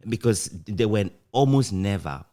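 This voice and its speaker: Low-voiced, animated Ghanaian man. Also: a little slow. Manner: animated, chuckles often while recounting anecdotes. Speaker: interview guest, former radio broadcaster. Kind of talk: casual reminiscing interview chat.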